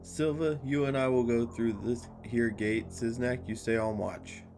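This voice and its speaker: A man's voice: medium pitch, steady tone, a little slow, darkish tone, faint music behind, natural voice. Man voicing a scheming, slangy small-time robber. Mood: bossy, scheming. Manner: slangy, conspiratorial. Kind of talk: visual novel character reading.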